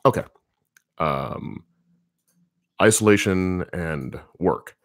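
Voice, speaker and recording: Deep-voiced man rambling casually. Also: drawn-out words, dry recording, clean studio sound. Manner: relaxed, rambling, thinking aloud with many fillers. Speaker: comics writer answering viewer questions. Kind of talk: casual livestream Q&A.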